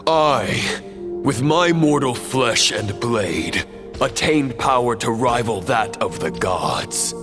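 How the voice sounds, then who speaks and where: A man's voice: medium pitch, expressive, slow pace. An actor playing a proud, grave fallen knight in a video game, solemn, theatrical and condescending as he addresses his foe. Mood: scornful, ominous. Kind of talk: dramatic video game voice acting. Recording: music behind the voice. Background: dramatic game music.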